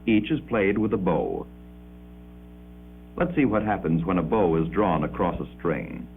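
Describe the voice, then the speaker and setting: Deep guy doing formal 50s school film narration, old tinny soundtrack with hum. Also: lively intonation, soft background music. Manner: formal, measured, precisely enunciated. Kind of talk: 1950s educational film narration, scripted. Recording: muffled, phone-like 1950s film soundtrack with hum.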